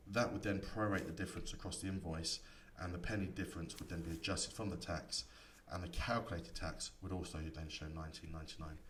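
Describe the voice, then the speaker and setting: Deep voiced guy doing a software walkthrough, explains step by step, roomy echo and a bit of background noise. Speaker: software instructor. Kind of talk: screen-recorded tutorial explanation. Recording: echoey room, distant mic, faint noise.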